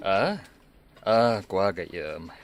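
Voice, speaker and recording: A man's voice: sits low, animated, drawn-out words, full character voice. A man playing a village father and farmer in an Ilocano radio drama. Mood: chatty.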